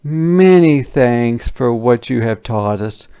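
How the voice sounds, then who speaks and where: A man's voice: mid-range voice, lively intonation, takes it slowly, warm tone, clear, resonant tone. A man voicing eager, good-hearted village peasants from a children's storybook in a simple, earnest way. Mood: earnest, grateful.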